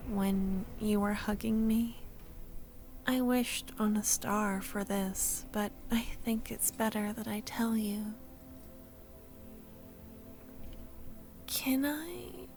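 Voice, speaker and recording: A woman playing a tender, wistful young childhood friend in a soft-spoken, breathy ASMR audio roleplay, often close to a whisper. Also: fairly high pitch. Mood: shy, earnest. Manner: slow, tender, hesitant.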